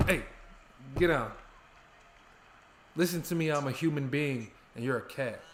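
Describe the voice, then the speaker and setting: A man's voice: a little high, a little slow. A man in his thirties from the United States with a mild Southern accent, chatting loosely on a livestream, joking with his chat and his cat. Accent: Southern American. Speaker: livestream host. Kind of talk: casual livestream chat reading viewer comments. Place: living room couch, indoors. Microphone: webcam mic at a distance. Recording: roomy echo, faint hiss.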